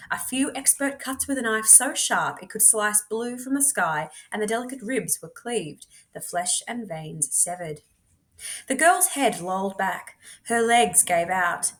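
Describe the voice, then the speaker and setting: A woman reading a storybook aloud expressively, in a measured, dramatic way with deliberate pauses. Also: mid-range voice, fairly bright tone. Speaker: teacher reading books aloud.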